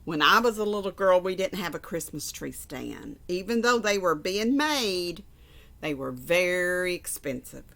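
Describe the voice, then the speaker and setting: A woman's voice: fairly low pitch, very animated, unhurried, creaky, a bit bright, full character voice. A woman voicing a kindly, practical old grandmother in a storybook, with a homely storytelling manner. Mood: reminiscing.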